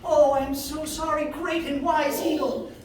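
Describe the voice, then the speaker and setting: A woman's voice: sits high, fairly even intonation, unhurried, darkish tone, a little hiss or hum, voice pushed up. A woman voicing a polite, earnest young female elephant who pleads eagerly. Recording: echoey room, mic far off.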